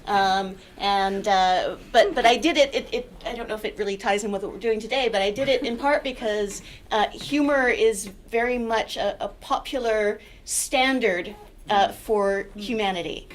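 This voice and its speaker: A woman's voice: mid-range voice, moderate pace, slight background noise. Wry, chatty woman professor. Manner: wry, chatty, tells puns. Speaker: computer science professor on a panel. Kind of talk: panel introduction. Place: university lecture room with audience. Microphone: handheld mic.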